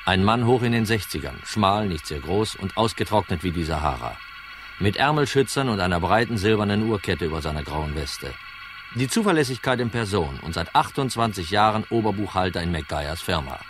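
An actor playing a cool, dogged insurance detective in a radio crime drama, dry, terse and probing. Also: male voice, deep voice, animated, fairly quick, background noise. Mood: brooding narration. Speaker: lead actor and first-person narrator. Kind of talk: radio crime drama acting, noir narration.

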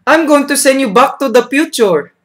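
Nosy eight-year-old boy, high and eager, a man playing him. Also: animated, normal speed, fairly bright tone. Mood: sulky. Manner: asks endless eager questions. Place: small bedroom, indoors.

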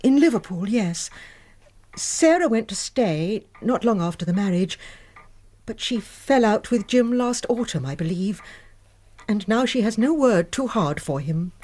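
An actress playing a reserved, respectable Victorian spinster in a period radio drama, speaking formally and guardedly. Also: female voice, medium pitch, expressive, a little slow, warm tone. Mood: aggrieved, reticent.

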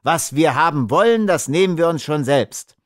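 A man voicing a rough, bearded prairie smuggler in an audiobook, blunt, taunting and threatening. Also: medium pitch, lively intonation, measured pace, no room sound, studio quality. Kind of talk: audiobook character acting.

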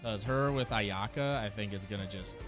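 A man's voice: on the low side, moderate pace. Enthusiastic man reacting, music behind him. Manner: enthusiastic, exclamatory. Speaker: streamer reacting to game trailers. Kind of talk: casual reaction commentary. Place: small home studio room. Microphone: close boom studio mic. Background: game trailer music.

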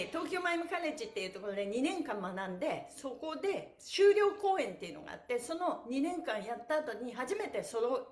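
Exuberant, high-voiced middle-aged woman instructor. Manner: exuberant, encouraging, giggly. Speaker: stretching instructor and pantomime performer. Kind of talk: livestreamed exercise instruction, cheerful chanting and chat. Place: small bare indoor studio room. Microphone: camera or phone a short distance away. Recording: roomy indoor echo.

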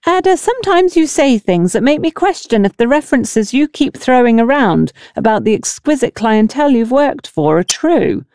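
Female narrator playing pushy village mother, pompous and indignant, pitched high, swooping, audiobook drama. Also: big pitch swings, normal speed, few pauses, darkish tone, dry recording, studio quality.

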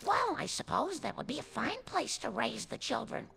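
A woman voicing a gracious, peacemaking older mother in an animated comedy, polite and proper. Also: on the high side, normal speed, gravelly, background noise, full character voice. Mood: cheerful, polite.

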